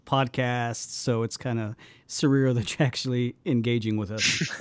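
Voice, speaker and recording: A talkative man co-hosts a casual podcast, eager and chatty. His voice sits at an ordinary middle pitch. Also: unhurried. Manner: enthusiastic, talkative. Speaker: podcast co-host.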